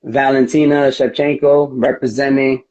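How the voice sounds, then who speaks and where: A man's voice: mid-range voice, flat delivery, normal speed, darkish tone. Man in a dull, lo-fi interview recording. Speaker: MMA fighter, interview guest. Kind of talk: casual interview talk. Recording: dull lo-fi audio.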